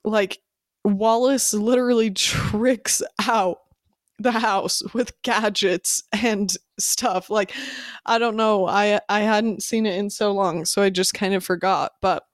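A woman chats as a podcast co-host, slightly stuffed up from allergies. Her voice is smooth and clean, mid-pitched, recorded close in a dry space. She talks in a bubbly, loose way, peppered with "like". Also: steady tone, slow, no room sound. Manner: bubbly, says "like" a lot. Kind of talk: casual chat.

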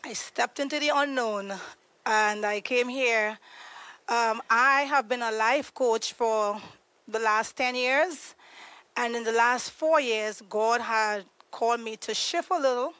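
A woman from Trinidad and Tobago, a podcast guest explaining trauma in an earnest, conversational way, pausing to search for words. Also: fairly high pitch, pushed and bright, clean tone, dry recording. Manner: earnest, searching for words, frequent ums and restarts.

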